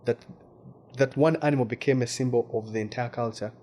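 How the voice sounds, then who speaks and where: A man's voice: medium pitch, brisk, mellow. A man from Western Uganda with a Ugandan accent, speaking as a guest journalist in a casual interview and often repeating words while he searches for them.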